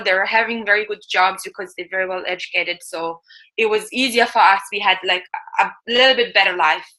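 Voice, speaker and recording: Bright, hesitant woman from eastern Europe. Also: medium pitch, low-quality recording. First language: not English. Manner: hesitant, many fillers and restarts, laughs often. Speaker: guest. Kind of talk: first-person interview account.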